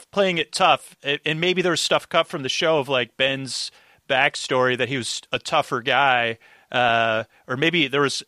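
Bright, rambling man on a podcast. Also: medium pitch, no room sound, clean studio sound. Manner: rambling, enthusiastic, quotes his own reactions. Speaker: podcast guest. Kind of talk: casual recap podcast chat.

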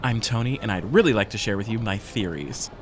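A man playing a cheeky, excitable teenage boy with a theatrical, teasing manner in a fiction podcast. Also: lowish voice, a little fast, a little hiss or hum, natural voice. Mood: mock radio announcer. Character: excitable, cheeky teenage boy, loud loyal best friend. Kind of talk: audio fiction podcast acting.

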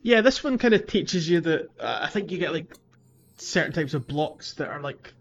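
A man's voice: a little high, expressive, moderate pace, vocal fry, faint music behind. A man chatting casually as a podcast co-host. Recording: dull lo-fi voice-chat audio.

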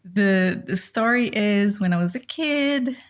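Unhurried, giggly Brazilian woman. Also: medium pitch, expressive. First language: Portuguese. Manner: giggly, often laughs softly mid-sentence. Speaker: podcast guest. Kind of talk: casual personal storytelling. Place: video call recording.

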